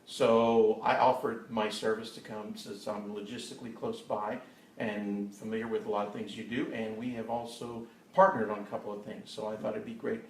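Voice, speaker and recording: A man's voice: fairly low pitch, level tone, normal speed. An upbeat man from Texas jokes through his questions in a folksy, easygoing way. He sits away from the mic in a roomy space, with faint noise in the background. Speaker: guest interviewer. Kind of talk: casual interview. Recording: roomy live stream from a distance, faint noise.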